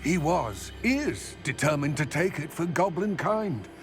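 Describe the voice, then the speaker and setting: A man playing a grave, weary goblin in a video game, speaking in a formal, measured, wordy way about serious matters. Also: on the high side, sing-song, music in the background. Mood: bitter, earnest. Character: grave, weary male goblin, wary of wizards. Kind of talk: video game cutscene acting.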